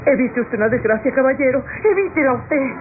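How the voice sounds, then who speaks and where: An actress with a Latin American accent playing a frightened, deferential, devout maidservant in a classic radionovela, pleading and stammering with agitation. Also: female voice, high voice, animated, a little fast. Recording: tinny old radio recording, noisy background.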